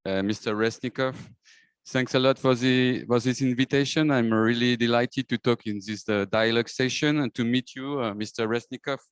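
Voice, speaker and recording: A man's voice: medium pitch, steady tone, normal speed, clear, resonant tone, no room sound. A man, a deputy mayor whose first language is French, giving polite formal opening remarks with some hesitations.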